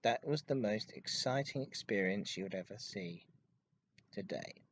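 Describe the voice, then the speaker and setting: A man hosting a hobby podcast, giving an informative enthusiast monologue in a halting way with stressed intensifiers. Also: on the low side, lively intonation, dry recording. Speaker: podcast host. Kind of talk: informative enthusiast monologue about railway history.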